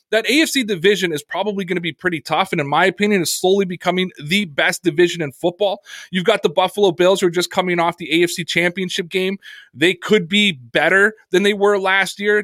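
A man's voice: high voice, fairly bright tone, no echo. A man hosting a sports podcast, answering viewer comments in an earnest, conversational way.

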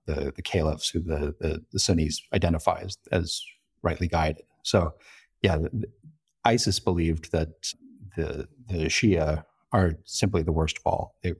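Husky low-voiced man explaining. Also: darkish tone. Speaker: interview guest, foreign correspondent and lecturer. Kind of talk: conversational expert explanation.

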